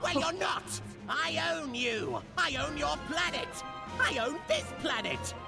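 A man's voice: extremely high pitch, expressive, a little slow, creaky, music in the background, full character voice. A man voicing a haughty, petulant, androgynous alien tyrant in a theatrical, pompous cartoon parody style. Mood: raging. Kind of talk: cartoon parody dub acting.

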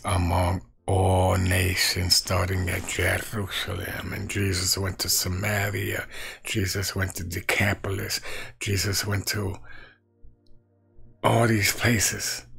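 Slow, gravelly middle-aged preacher. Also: male voice, sits low. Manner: slow, deliberate, repeats key phrases, quiet and musing. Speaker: street evangelist. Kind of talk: Bible teaching to camera. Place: small room at home.

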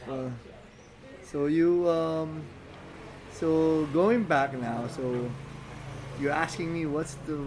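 A man from the Philippines, speaking as a non-native speaker, talks casually as a podcast guest, halting with many restarts and fillers. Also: fairly high pitch, steady tone, noisy background. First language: Filipino languages. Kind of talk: casual chat.

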